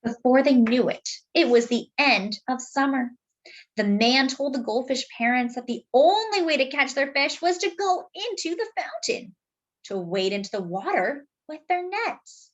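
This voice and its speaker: Female children's story reader, high voice, highly animated melody, deliberate phrasing with conversational asides. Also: highs cut off. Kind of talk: expressive picture book read-aloud.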